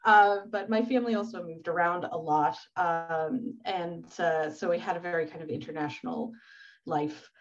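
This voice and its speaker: A woman's voice: on the low side, moderate pace, highs cut off. A woman being interviewed on a podcast, speaking thoughtfully and searching for words with fillers and small laughs. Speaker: podcast guest. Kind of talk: conversational interview.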